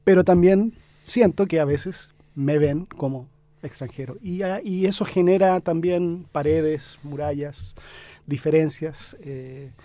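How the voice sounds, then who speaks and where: A man, answering interview questions thoughtfully, pausing often with fillers. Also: fairly high pitch, dark tone, no echo. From Chile. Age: 50s. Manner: thoughtful, hesitant with many fillers. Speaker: guest, academic.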